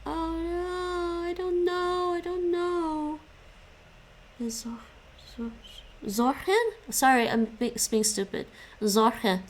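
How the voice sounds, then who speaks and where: A woman's voice: extremely high pitch, fairly even intonation, slow, a little hiss or hum. A young woman chatting casually with stream viewers while she studies languages. She stops and restarts often and laughs a lot. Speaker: live streamer studying languages.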